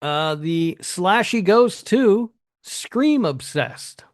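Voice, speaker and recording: A man's voice: high voice, lively intonation, drawn-out words, no echo, studio quality. A man hosting a podcast, chatting casually and announcing things in a hesitant, sweary way. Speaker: podcast host presenting awards.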